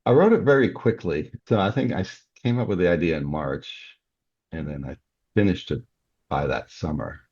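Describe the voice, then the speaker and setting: A man's voice: very deep voice, a little mellow, breathy edge. An older man talking conversationally as an interview guest, explaining his own process. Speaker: podcast guest, novelist. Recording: dull, band-limited audio.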